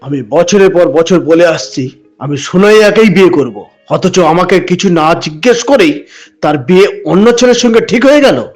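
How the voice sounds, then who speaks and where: A man's voice: sits high, lively intonation, normal speed, soft background music. A man voicing a pushy, leering village suitor who bullies and threatens in a cartoon. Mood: angry, threatening. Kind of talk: cartoon voice acting.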